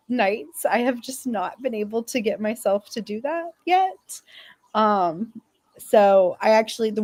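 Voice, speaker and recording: A woman, young to middle-aged, speaks as a fan panelist. She tells casual personal stories at a slow, unhurried pace, with a dry, close sound. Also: medium pitch, darkish tone, no room sound.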